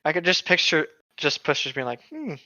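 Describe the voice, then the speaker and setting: A man's voice: on the high side, big pitch swings, a little fast, pushed and bright, no echo. A man doing a casual comic read-aloud with irreverent joking asides, on a slightly dull close recording. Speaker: fan fiction podcast reader.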